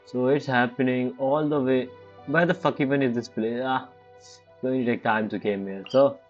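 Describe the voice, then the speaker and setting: A man's voice: medium pitch, fairly even intonation, normal speed. A man streaming a game playthrough, giving casual, muttered reactions with loose, informal grammar. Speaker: gaming streamer. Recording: muffled mic with game music.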